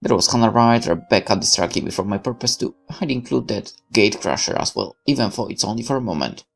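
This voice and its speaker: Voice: mid-range voice, expressive, brisk. A game streamer reading visual novel narration aloud expressively, occasionally stumbling over words. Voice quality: creaky.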